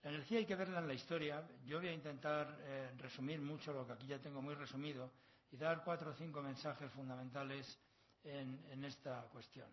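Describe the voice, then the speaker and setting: A man's voice: mid-range voice, steady tone, fairly quick. A middle-aged man from La Mancha, Spain, with a Castilian accent, giving an academic conference lecture as a professor in an erudite, discursive manner. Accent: Castilian Spanish. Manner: erudite, discursive, with proverbs and learned asides. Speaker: university professor, conference speaker. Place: long conference hall. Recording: slightly dull, lo-fi sound.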